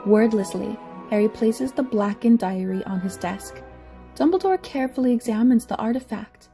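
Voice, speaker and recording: A woman's voice: a little high, expressive, normal speed, vocal fry, warm tone, faint music behind. A woman reading scripted story narration for an audio drama.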